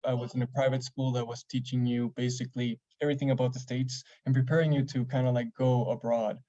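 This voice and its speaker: A man from Ecuador with a mellow, even voice talks thoughtfully over a video call, pausing and restarting now and then. The call audio is dull and lo-fi. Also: mid-range voice. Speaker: panelist. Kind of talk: webinar panel discussion.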